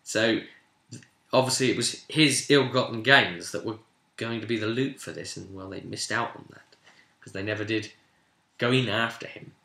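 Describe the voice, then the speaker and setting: A man's voice: fairly low pitch, normal speed, vocal fry, pushed and bright. A man casually recapping a tabletop role-play session as game master, telling it like a story and breaking off into asides. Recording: roomy, echoey room sound.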